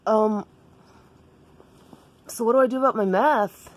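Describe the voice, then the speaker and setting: A woman's voice: fairly high pitch, measured pace, voice pushed up, lightly acted. A woman voicing a timid, earnest schoolboy in a comic story, hesitant and wide-eyed. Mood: awkward, curious. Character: timid, earnest, church-bound boy, school age.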